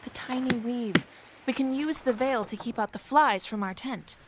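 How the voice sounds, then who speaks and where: An actress playing a proud, independent young bride-to-be in a Regency audio drama, formal and firm. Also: female voice, on the high side, moderate pace, very noisy, natural voice.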